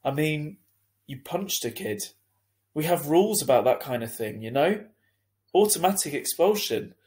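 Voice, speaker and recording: A man playing an earnest, lenient school head in a children's story, reasoning in a measured, hesitant way. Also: fairly high pitch, animated, darkish tone, pitched above the performer's own voice, natural voice. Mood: perplexed.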